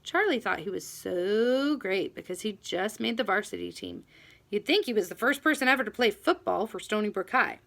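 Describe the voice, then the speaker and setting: A woman reading a children's novel aloud in an expressive, animated first-person storytelling manner. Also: mid-range voice, normal speed, gravelly. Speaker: audiobook reader.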